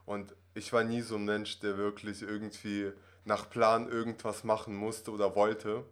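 A man's voice: fairly low pitch, level tone, normal speed. A man in his twenties who grew up in Russia, talking casually as a podcast guest, rambling with many fillers and tag questions. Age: 20s. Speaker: acting student guest.

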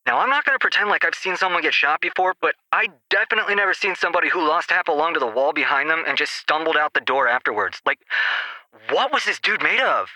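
An actor playing an excited, slangy clubgoer who tells a story as a caller on a radio show. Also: male voice, a little high, fast, no room sound, low-quality recording. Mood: excited, amazed. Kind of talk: caller acting in audio drama.

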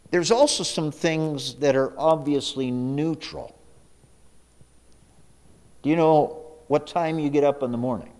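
A middle-aged man preaches a sermon in a medium-pitched voice. He repeats his main questions for weight. He moves between loud emphasis and quiet, lowered asides. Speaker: pastor.

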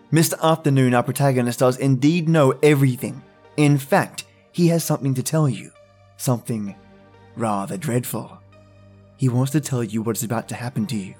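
A man with a mid-range voice presents a spooky story podcast. He reads a scripted introduction addressed to his listeners, with soft music running behind him. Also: medium pitch. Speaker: podcast host.

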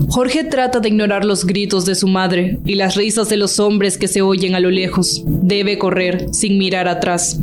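A woman delivering scripted narration as the storyteller of a radio drama. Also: mid-range voice.

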